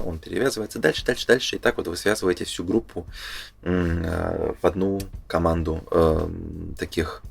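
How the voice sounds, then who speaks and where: A man's voice: sits low, vocal fry, slight background noise. A man giving casual, unscripted explanatory commentary, thinking aloud hesitantly as he reads through a booklet. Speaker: presenter reading through a game booklet.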